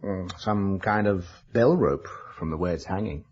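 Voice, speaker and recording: A man's voice: low-pitched, a little slow, a little mellow, breathy edge, faint music behind. A man playing a studious, unworried young scholar with an educated British accent, precise and dryly dismissive, in a radio drama. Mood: dryly amused. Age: young adult. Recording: dull lo-fi radio drama recording.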